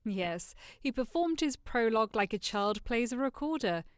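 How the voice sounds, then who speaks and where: A woman's voice: a little high, animated, moderate pace, clean tone, dry recording, studio quality, natural voice. A woman playing a witty, mocking noblewoman in audio drama dialogue. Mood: amused.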